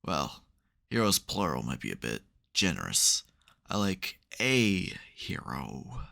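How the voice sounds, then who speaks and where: A man's voice: low voice, huge pitch swings, slow pace, creaky, sharp tone, full character voice. A man playing a smug, theatrical supervillain who secretly cares, in a dramatic, wry, chatty way for an ASMR roleplay. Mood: flustered, fond.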